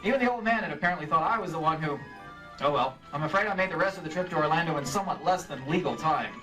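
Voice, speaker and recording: A man playing a brisk, dogged insurance investigator with clipped, wry delivery in a live old-time radio drama, slightly distant from the mic. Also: a little high, animated, music in the background, close to an everyday voice. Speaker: lead actor and narrator. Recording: live stage recording, off mic.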